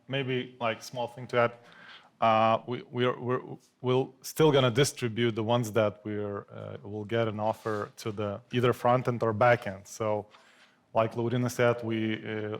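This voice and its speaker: A man on a live office panel, speaking haltingly, repeating words as he adds clarifications. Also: medium pitch, flat delivery. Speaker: engineer on recruitment panel. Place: office event space.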